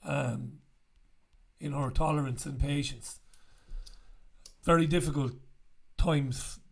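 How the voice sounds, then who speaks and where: A man in his forties, croaky after an illness, chatting bluntly and casually to camera as a show host in a small room with a studio mic. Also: on the high side, steady tone, takes it slowly. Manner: chatty, blunt, swears freely. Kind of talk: casual direct-to-camera chat. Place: small bright room indoors. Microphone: studio mic on stand in front.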